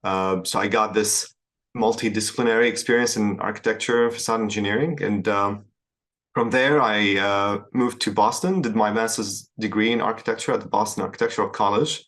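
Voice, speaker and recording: A man from Syria, a founder answering interview questions in a measured way with frequent hesitations and restarts. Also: on the low side, fairly bright tone. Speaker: startup founder, guest.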